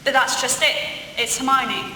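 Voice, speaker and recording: A woman's voice: sits high, fairly even intonation, moderate pace, bright, forceful tone. A young woman playing an urgent, worried schoolboy in a comedic radio play. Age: young adult. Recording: echoey hall, distant mic, faint noise.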